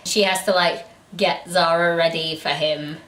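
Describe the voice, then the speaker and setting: A woman's voice: lowish voice, steady tone, a little slow, fairly bright tone. A British woman chatting casually and informally as a book reviewer and host. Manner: giggly, informal. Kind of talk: casual chat. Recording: echoey room, distant mic.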